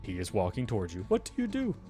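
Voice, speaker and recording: Male game master, lowish voice, informal and descriptive, speaking straight to players over background music. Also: wildly swooping pitch, fast, dark tone. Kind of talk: narrating a tabletop role-playing game.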